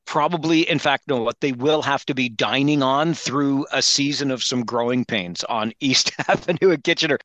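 A man with a crisp-edged, bright voice talks hockey as a guest analyst. He lays out his points in an articulate, measured way, at a middle pitch. The sound is dry and close, with no room ring. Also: dry recording. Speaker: hockey play-by-play broadcaster and guest commentator. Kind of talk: sports podcast interview analysis.

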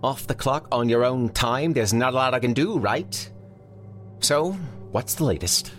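A man voicing a tough, world-weary male rabbit who is a police chief, speaking gruffly. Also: medium pitch, unhurried, faint music behind, voice pushed up, close to an everyday voice.

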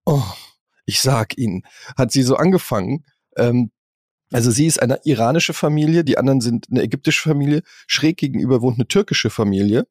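Male podcast co-host, husky tone, casual storytelling, dry close sound. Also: mid-range voice, lively intonation, brisk, no echo. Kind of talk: casual chat, telling anecdotes.

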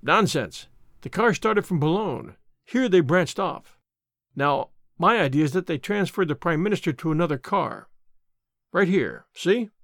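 A man voicing a cheerful, cocky little police inspector in an audiobook, brisk, informal and opinionated. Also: a little high, lively intonation, fairly bright tone, dry recording, studio quality. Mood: dismissive, insistent.

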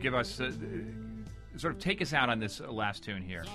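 A man's voice: mid-range voice, lively intonation, moderate pace. A man hosting a radio show, interviewing a guest about music in a conversational style.

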